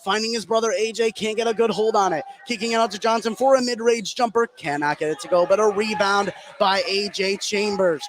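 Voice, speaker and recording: Higher-voiced American man calling live basketball. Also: fairly quick, few pauses, a crowd in the background. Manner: excited on big plays. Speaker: play-by-play announcer. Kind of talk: live basketball radio play-by-play.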